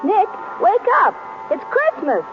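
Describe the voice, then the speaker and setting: An actress playing a spirited, teasing detective's wife with a playful, arch manner, in 1930s radio drama style. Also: female voice, very high voice, huge pitch swings, slow, music in the background, full character voice. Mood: bright, playful. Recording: noisy muffled vintage radio recording.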